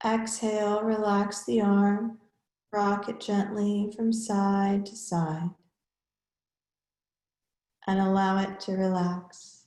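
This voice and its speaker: A woman yoga teacher leading a guided relaxation in measured, instructional short phrases with pauses between them. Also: mid-range voice, level tone, darkish tone, roomy sound.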